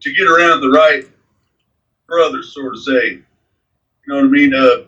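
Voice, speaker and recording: A man's voice: medium pitch, normal speed, bright, forceful tone, far from the mic, lo-fi sound. A man in his forties, a guest in a casual interview, rambling with lots of fillers. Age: 40s. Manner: rambling, frequent fillers like "man" and "you know".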